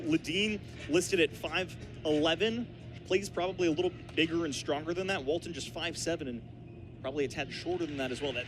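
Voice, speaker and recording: Higher-voiced male basketball color commentator. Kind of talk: analytical live basketball commentary.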